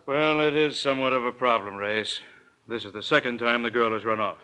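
Bright-voiced society gentleman played by a man. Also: medium pitch, steady tone, brisk, clear, resonant tone, close to an everyday voice, rough recording. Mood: polite concern. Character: wealthy, polite polo-playing society gentleman. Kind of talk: 1940s radio drama acting.

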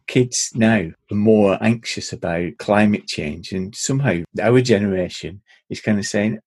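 A man's voice: fairly low pitch, unhurried, darkish tone, no echo. A middle-aged man, explaining thoughtfully on a podcast, with plenty of ums. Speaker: podcast co-host. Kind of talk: casual chat.

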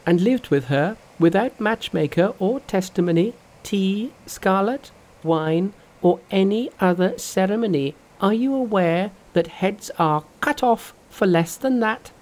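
A narrator voicing a boastful, fearless male monkey king in a storybook reading, loud and mocking. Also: mid-range voice, lively intonation, unhurried, few pauses, pitched above the performer's own voice, lightly acted, poor audio. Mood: scornful.

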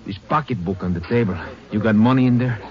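A man's voice: fast, crowd noise, natural voice. A man acting a menacing robber with a knife in a 1950s radio play, muffled old broadcast sound. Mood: threatening. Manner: threatening, low hissed commands.

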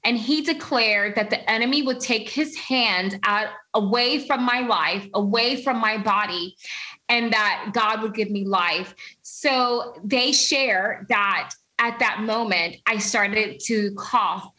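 A woman from Puerto Rico telling her personal story as an interview guest, earnest and animated, laughing now and then. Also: mid-range voice, takes it slowly, low-quality recording.

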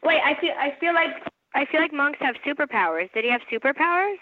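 A woman co-hosting a podcast who blurts short, excitable reactions and questions. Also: sits high, quick pace, phone-quality sound, a little hiss or hum. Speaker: podcast co-host. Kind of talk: casual podcast chat.

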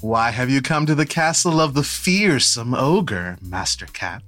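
A woman's voice: extremely low pitch, expressive, measured pace, a bit bright, soft background music, voice pushed down, heavily acted. A woman voicing a haughty castle servant in a storybook, formal and droll. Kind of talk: children's storybook character voice.

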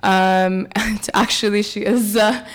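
A woman from California talking as a podcast guest in a casual interview, soft-spoken and using many fillers. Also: mid-range voice, fairly even intonation, takes it slowly. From United States, California.

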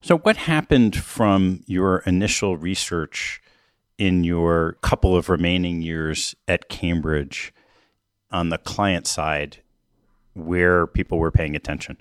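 A man's voice: sits low, takes it slowly, no echo, clean studio sound. A man hosting an interview, asking brief, curious follow-up questions. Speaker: podcast host.

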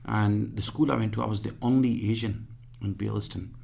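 A man, being interviewed and speaking haltingly with many restarts; English is his third language. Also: on the low side, fairly even intonation, gravelly, warm tone. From Pakistan. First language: Punjabi or other regional language; Urdu second, English third. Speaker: guest. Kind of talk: casual interview.

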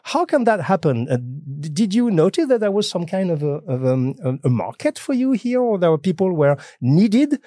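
A man's voice: high voice, big pitch swings, moderate pace, hardly any pauses, mellow, no echo, studio quality. A man hosting an interview, eager and chatty, who often chimes in with short agreements and repeats words as he frames his questions. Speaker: podcast host. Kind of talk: conversational interview.

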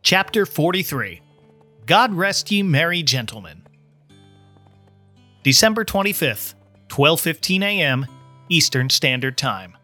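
A man's voice: fairly high pitch, very animated, measured pace, long pauses, sharp tone, faint music behind. A man, a podcaster, reading in a scripted storytelling style. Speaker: podcaster, guest reader. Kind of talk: podcast promo and audiobook-style narration.

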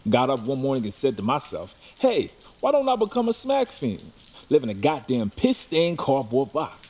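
Man playing a scrappy, animated street mugger. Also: mid-range voice. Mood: indignant. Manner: streetwise, slangy, sardonic, excitable. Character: scrappy, mouthy male street mugger and desperate addict. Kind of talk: audio drama acting. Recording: clear background noise, rain ambience.

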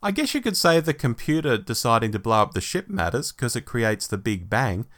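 A man's voice: mid-range voice, big pitch swings, a little fast, a bit bright. A man co-hosting a podcast, reviewing a book in a casual, wry, conversational way. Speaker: podcast co-host.

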